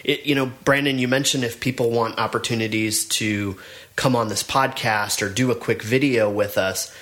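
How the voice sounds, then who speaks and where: A man's voice: mid-range voice, steady tone, moderate pace, fairly bright tone. A middle-aged man co-hosting a podcast, talking in an earnest, encouraging way with frequent restarts. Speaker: podcast co-host, business network trainer. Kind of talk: casual podcast chat.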